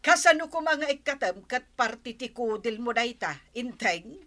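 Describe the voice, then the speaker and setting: A woman's voice: fairly high pitch, lively intonation, normal speed, a bit bright. A woman acting a worried, scolding friend in an audio drama, fretful and chiding. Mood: teasing.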